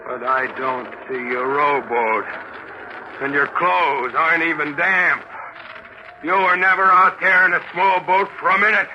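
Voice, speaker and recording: An actor playing a weak, delirious and jealous castaway sailor in a 1940s radio drama, halting and impassioned. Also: male voice, fairly high pitch, lo-fi sound, natural voice. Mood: suspicious. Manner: halting, impassioned, swinging into anguished shouts. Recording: noisy 1940s radio broadcast recording.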